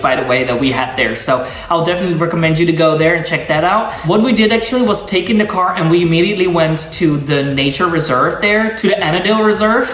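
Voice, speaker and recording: A man's voice: sits high, fairly bright tone. Young Dutch-accented male vlogger, eager and chatty, recorded roomy from a few feet away. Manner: enthusiastic, gushing, with many fillers and restarts. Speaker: travel vlogger. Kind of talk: casual travel-guide talk. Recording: roomy sound, mic at a distance.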